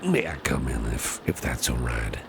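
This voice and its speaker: A man playing a caring, protective businessman boss in a gentle ASMR roleplay, speaking softly and reassuringly, often breathy and near a whisper. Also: rumbling low, big pitch swings, background noise. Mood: gentle, courteous. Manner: gentle, reassuring, unhurried, repeats phrases softly. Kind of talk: ASMR roleplay, soft personal attention.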